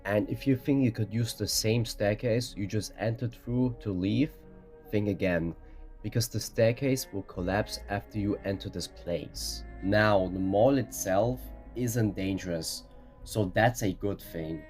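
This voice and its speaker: A man's voice: lowish voice, unhurried, a little mellow. A man reading scripted explainer narration in a matter-of-fact, orderly way. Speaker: narrator of a ranking video. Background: background music.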